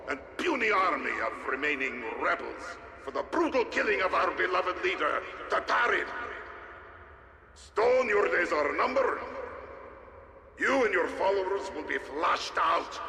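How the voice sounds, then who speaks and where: A man's voice: high voice, gravelly, exaggerated voice. A man playing a pompous, vengeful Soviet military commander, declaiming a menacing public oration in a video game. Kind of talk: funeral oration. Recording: echoey reverberant public address, noisy.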